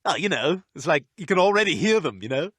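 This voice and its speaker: A man plays a chatty TV comedy guy with a slightly high voice. He talks quickly in scripted banter, and the sound is close and dry. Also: very animated, a bit bright, slightly rough, no echo, exaggerated voice.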